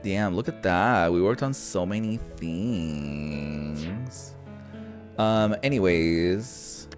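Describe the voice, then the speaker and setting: Low voiced guy doing a chill lets play, slow talker, swears a bit, game music behind. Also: expressive. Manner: casual, mildly sweary, thinks out loud. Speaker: gaming host. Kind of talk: casual let's-play commentary. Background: background game music.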